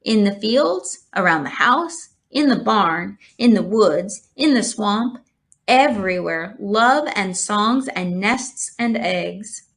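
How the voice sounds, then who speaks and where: Female storybook reader, mid-pitched, measured and expressive, narrating a children's novel.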